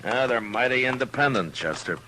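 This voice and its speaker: An actor playing a weary but firm frontier marshal with an American accent and dry, understated authority in a 1950s radio Western. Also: male voice, medium pitch, lively intonation, fairly quick, noisy background, close to an everyday voice. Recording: old radio drama with music.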